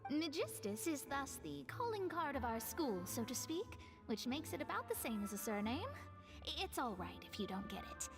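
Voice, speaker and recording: A woman's voice: sits high, sing-song, normal speed, music in the background. A woman voicing a proud, wordy young astrologist in a video game, speaking in an articulate, self-assured, lecturing way. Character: proud, wordy young female astrologist, smart and slightly haughty.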